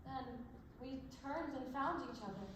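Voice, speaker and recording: Woman playing sorrowful girl, high voice, dramatic pauses, stage monologue, far from mic. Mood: grieving. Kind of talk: solemn stage monologue in a one-act play. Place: large echoing indoor performance space, far mic. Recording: distant echoey stage recording with loud noise.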